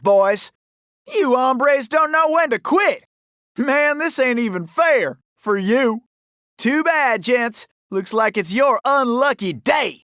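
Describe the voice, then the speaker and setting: Cartoon game cowboy raccoon: cocky folksy gunslinger, American Southern drawl, very animated delivery, dry studio sound. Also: male voice, extremely high pitch, no room sound, full character voice. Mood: confident, folksy. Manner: folksy, drawling, boastful, whooping cowboy talk. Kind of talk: cartoon video game voice acting.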